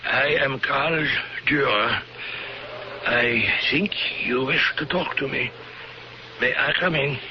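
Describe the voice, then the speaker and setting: An actor playing a cold, formal former camp doctor, on an old radio drama. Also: male voice, mid-range voice, takes it slowly, close to an everyday voice. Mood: polite. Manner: stiff, formal, defensive. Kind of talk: 1970s radio drama acting. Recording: dull old radio broadcast with hiss.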